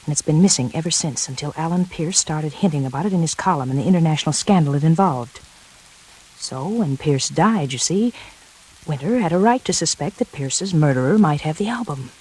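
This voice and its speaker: An actress playing a cool, seductive schemer in a 1940s radio thriller, smooth-talking and coaxing. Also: female voice, deep voice, expressive, a little fast, darkish tone, rough recording. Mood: confiding, seductive. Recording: hissy 1940s radio recording, rain noise.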